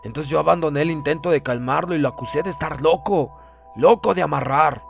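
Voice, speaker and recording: A man narrating a horror audiobook in the first person, reading solemnly and deliberately with theatrical emphasis. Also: fairly high pitch, gravelly. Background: music.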